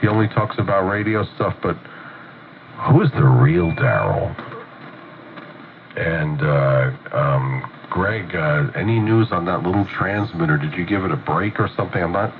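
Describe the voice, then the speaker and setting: A very deep-voiced man talks casually over the air, his voice muffled and congested from a cold. The sound comes through a tinny radio receiver under a layer of clear static. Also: lively intonation, measured pace. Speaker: ham radio operator.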